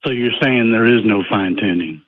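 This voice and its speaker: A man's voice: on the low side, brisk. Man on a tinny phone line. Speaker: caller to a show. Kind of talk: live phone-in debate. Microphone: telephone.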